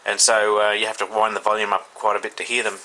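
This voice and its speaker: A man with an Australian accent giving a casual, unscripted hands-on product review, haltingly thinking aloud. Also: fairly low pitch, completely flat delivery, pushed and bright, poor audio. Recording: faint background noise.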